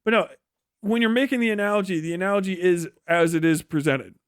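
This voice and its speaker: A man's voice: high-pitched, fast, clean tone, dry recording, studio quality. A man giving casual, ranting live-stream political commentary in a profane, scornful, emphatic way, often repeating phrases for emphasis.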